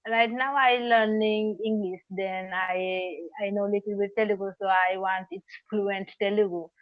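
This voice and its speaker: A woman in her twenties practising English on a video call, halting and hesitant as she searches for words. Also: mid-range voice, fairly even intonation, dry recording. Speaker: English learner. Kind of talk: casual video-call conversation. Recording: dull, dry video-call audio.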